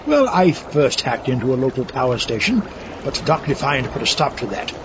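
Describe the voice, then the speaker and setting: A man's voice: very animated, normal speed, natural voice. A man playing an eccentric, pompous, scheming mad scientist in a comedic audio drama. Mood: boastful, scheming. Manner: pompous, flowery wording, exclamatory. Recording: muffled lo-fi audio over rumbling background noise.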